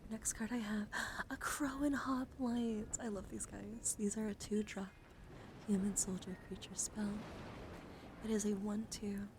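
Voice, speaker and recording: A woman with a medium-pitched voice hosts an ASMR stream. She moves between soft talk and breathy whispering, often repeating words in a soothing way. Clear background noise sits behind her voice. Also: steady tone, measured pace. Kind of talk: ASMR livestream, soft chatter with viewers.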